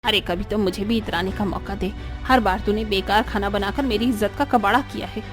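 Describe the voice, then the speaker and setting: A woman voicing an arrogant, sharp-tempered older mother-in-law in a cartoon story, haranguing indignantly with rhetorical questions, over music. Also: mid-range voice, steady tone, a little fast, pitched below the performer's own voice, lightly acted. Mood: indignant, scolding. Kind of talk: Hindi cartoon story voice acting.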